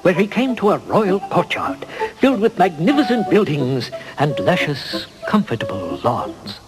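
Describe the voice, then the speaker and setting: A man's voice: high voice, sing-song, unhurried, over music, rough recording. Lilting male storybook narrator.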